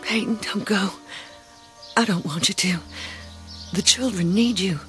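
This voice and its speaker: An anxious wife and mother played by an actress in a radio drama. She speaks slowly and earnestly, pleading with feeling, over prominent music. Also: medium pitch, a bit bright, close to an everyday voice. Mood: fearful, pleading. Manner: earnest, emotional.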